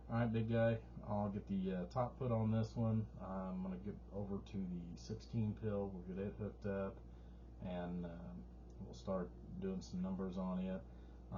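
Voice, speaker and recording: A man casually talking through an amplifier demonstration in a folksy, rambling, informal way, as a repair technician. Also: sits low. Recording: muffled sound with clear background noise.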